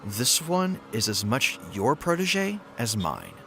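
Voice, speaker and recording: A man performs a guilt-laden superhero wizard in an audio roleplay, his voice sharp and hugely animated in pitch. He is wry and resigned, with faint background noise around him. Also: takes it slowly, creaky, heavily acted. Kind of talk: dramatic audio roleplay acting.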